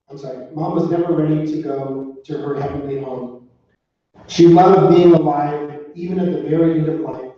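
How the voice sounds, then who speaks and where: A man's voice: on the high side, dark tone. A man reading a memorial tribute aloud at a funeral service. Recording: echoey room, distant muffled mic.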